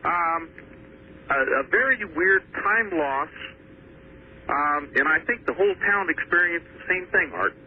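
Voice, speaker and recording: A man's voice: fairly high pitch, unhurried. A man calling in to a radio show, giving a personal account in a hesitant, earnest way. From Washington state, US. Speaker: phone-in caller. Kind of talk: personal account told on air. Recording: thin, noisy phone line to radio.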